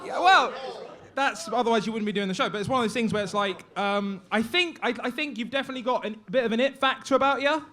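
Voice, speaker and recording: A man's voice: very high-pitched, fast, pushed and bright. A man giving casual, blunt, crude feedback as a judge on a comedy show.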